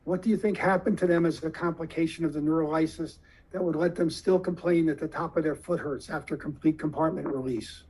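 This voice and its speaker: A man giving a lecture to surgeons, explaining slowly and deliberately with questions to the audience. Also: fairly high pitch, steady tone, darkish tone, breathy edge, poor audio.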